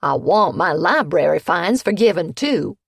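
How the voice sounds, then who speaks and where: A woman's voice: lowish voice, lively intonation, measured pace, vocal fry, breathy edge, no echo, studio quality, full character voice. Woman doing a cranky grumbling old lady. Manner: grumbling, petulant.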